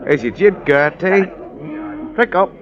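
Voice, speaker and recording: High-pitched flirtatious pub dandy. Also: male voice, expressive, a little slow, background noise. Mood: flirting. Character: smooth-talking flirtatious London pub dandy. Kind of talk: 1950s radio drama acting. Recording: muffled old radio, busy pub crowd.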